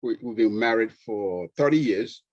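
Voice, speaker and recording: A middle-aged man from Nigeria with a Nigerian accent, a pediatrician guest talking relaxed, with fillers and easy laughs. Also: lowish voice, moderate pace, no echo, muffled recording. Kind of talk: conversational interview.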